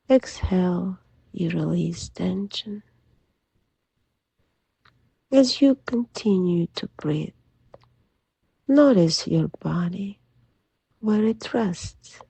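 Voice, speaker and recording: A woman leading a guided sleep meditation in a soft, soothing, gentle voice that often drops to a breathy near-whisper. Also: lowish voice, no echo. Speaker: hypnotherapist guide.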